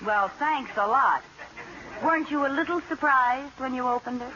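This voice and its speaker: A high-voiced, flighty wife from a vintage radio sitcom. She delivers everything with theatrical exaggeration. The old broadcast is muffled, with clear hiss behind her. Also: normal speed, pushed and bright. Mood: dramatic, indignant. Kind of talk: 1940s-50s radio comedy acting.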